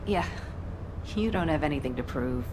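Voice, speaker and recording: Seasoned ex-captain for a game: low register, calm, caring, measured. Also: female voice, background noise. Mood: earnest. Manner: gentle, earnest, measured. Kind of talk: video game voice acting.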